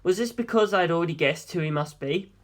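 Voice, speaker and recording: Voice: mid-range voice, fast, slight background noise. An audiobook narrator reading a scripted first-person story expressively. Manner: expressive, acting out dialogue.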